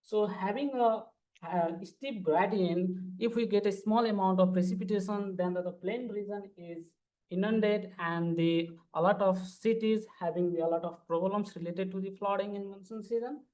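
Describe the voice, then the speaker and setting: A man's voice: high-pitched, fairly even intonation, normal speed, darkish tone, rough recording. A man, giving an earnest, formal conference panel presentation as a PhD student. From Nepal. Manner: earnest, formal, walks through slides step by step.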